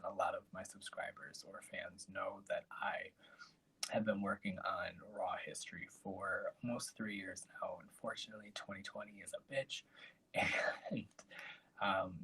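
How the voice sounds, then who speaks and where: A man, hosting a casual live stream and chatting in a soft, rambling way. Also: low voice, poor audio. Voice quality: raspy. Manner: soft, breathy and rambling, with trailing asides.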